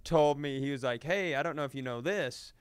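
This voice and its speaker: A man's voice: mid-range voice, fairly quick, clear, resonant tone, dry recording, studio quality. A man co-hosting a comedy podcast, telling anecdotes in a casual, rambling way with some swearing. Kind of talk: casual comedic storytelling chat.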